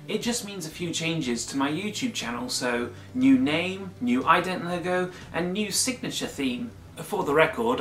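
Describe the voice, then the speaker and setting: A man talks straight to his viewers in an earnest, emphatic vlog, his voice slightly croaky. The room around him is echoey, and faint music plays underneath. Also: a little high, fairly bright tone. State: slightly croaky after flu. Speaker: YouTuber. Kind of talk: casual vlog monologue.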